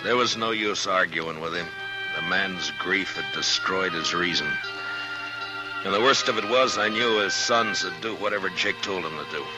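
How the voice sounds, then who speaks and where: Deep-voiced, stern American frontier marshal played by a man. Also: lightly acted, poor audio. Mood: grave, reflective. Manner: firm, plain-spoken, measured. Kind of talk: 1950s radio western acting, with first-person narration. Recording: old radio recording with music.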